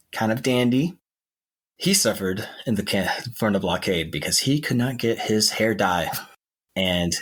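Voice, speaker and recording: A man with a husky voice telling history in a conversational podcast style. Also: lowish voice, a bit bright. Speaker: podcast co-host.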